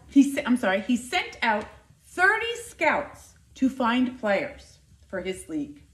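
A middle-aged woman reads a picture book to children in a high voice that swings up and down. She takes her time and leans on the important words. The sound is echoey, as in a living room. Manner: expressive, deliberate storytelling with emphasized words. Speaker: school nurse reading to children. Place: indoor living room by a fireplace.

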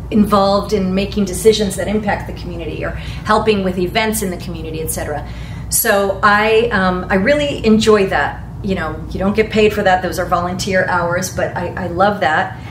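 A woman in her forties from Ecuador, a real estate agent giving earnest answers in a panel interview, with Spanish as her first language. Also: lowish voice. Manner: earnest, gestures while explaining. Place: meeting room in front of a large screen. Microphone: far camera mic. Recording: echoey room from far off, faint noise.

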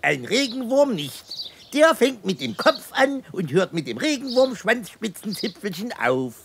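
An actor playing a cheeky, defiant male earthworm in a children's cartoon, with a gravelly voice. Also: mid-range voice, sing-song, measured pace. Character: cheeky grumpy male earthworm, eager wisecracker. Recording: birdsong noise throughout.

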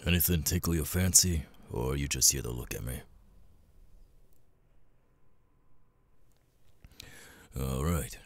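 A man voicing a gruff, world-weary cat demon bartender in an ASMR audio roleplay, raspy and laconic, with casual swearing and long pauses. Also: a little hiss or hum. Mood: gruff, guarded. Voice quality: raspy, very deep gravelly voice. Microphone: close, dry studio mic.

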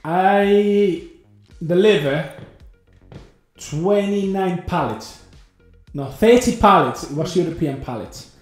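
High-voiced trucker man, animated chat. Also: takes it slowly, long pauses. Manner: animated, talks in bursts, acts out dialogue. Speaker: trucker and channel host. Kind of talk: casual chat. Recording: echoey room with faint music.

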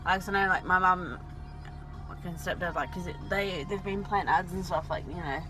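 A woman's voice: fairly low pitch, normal speed, over music, low-quality recording. An Australian woman in her thirties chatting casually while driving, rambling with lots of fillers and casual swearing, recorded on a dashboard camera inside a car. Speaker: vlogger.

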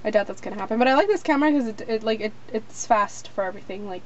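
Young American woman, high-pitched, chatting casually. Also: racing. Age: young adult. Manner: informal, rambling, frequent "like". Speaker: vlogger. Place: small rooms at home, closet and bedroom. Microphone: handheld camera at arm's length. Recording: dull, noisy handheld camera audio.

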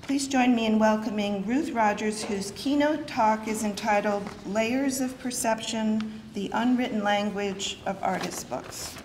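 A woman's voice: mid-range voice, a little slow, hardly any pauses, heavy background noise. A middle-aged woman hosting the event, reading a formal introduction at a podium, with brief unscripted asides. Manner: reads a list of titles, with brief asides. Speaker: event host introducing the speaker. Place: lecture hall with wood paneling. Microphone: podium microphone. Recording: echoey hall, faint ambience.

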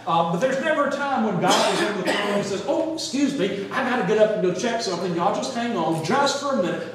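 A middle-aged man preaching a sermon in an earnest, folksy manner with emphatic pauses. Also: high-pitched. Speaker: pastor. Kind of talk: sermon with scripture reading. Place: church sanctuary, speaking from pulpit and floor. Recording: echoey church hall, distant mic, faint noise.

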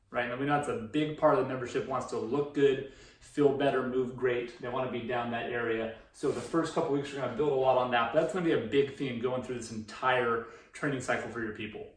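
A man in his thirties giving an informal, explanatory presentation as a fitness coach in a conversational way. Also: medium pitch. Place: indoor room beside a presentation screen. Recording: roomy echo, distant microphone.

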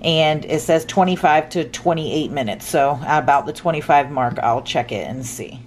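A woman's voice: low voice, normal speed, slight background noise. A middle-aged woman narrating her home cooking in a chatty, upbeat way, explaining each step as she goes. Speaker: cooking vlogger. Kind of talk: casual voice-over cooking narration. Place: home kitchen.

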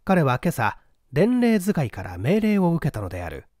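A man's voice: a little high, huge pitch swings, normal speed, a little mellow, no room sound, studio quality. A man narrating a literary mystery novel as a dramatic audiobook reading.

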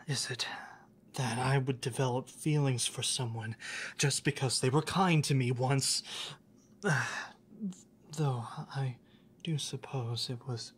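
An actor playing a reserved, lovesick young classmate in an ASMR roleplay, speaking in a soft, hesitant, formal way, often near a whisper. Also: medium pitch, slight background noise, lightly acted. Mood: hesitant, confessing.

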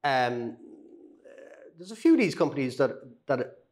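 A man's voice: mid-range voice, sing-song, normal speed, a little mellow. A middle-aged man as a guest in a studio chat, talking casually with lots of fillers and repeated words, on a lapel mic. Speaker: guest HR consultant. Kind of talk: casual chat on business news. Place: indoor studio with brick wall.

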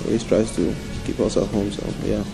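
A man's voice: fairly low pitch, level tone, fairly quick, creaky, dark tone, soft background music, poor audio. A young man answering interview questions indoors in an easygoing, modest way. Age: young adult. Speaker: interviewee, footballer's son. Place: indoor room.

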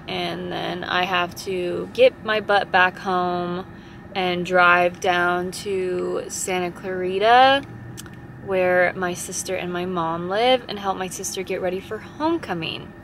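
A young woman in her twenties talking to her camera at arm's length while sitting in a car, chatty and relaxed about her busy day. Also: on the low side, slow, slight background noise, rough recording. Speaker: vlogger, salon owner. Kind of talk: casual vlog chat. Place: inside a car. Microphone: camera held at arm's length.

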